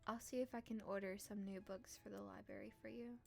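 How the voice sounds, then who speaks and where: A woman live-streaming a visual novel, reading aloud and reacting casually in a playful, expressive, teasing way. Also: mid-range voice, fairly quick, vocal fry, faint music behind.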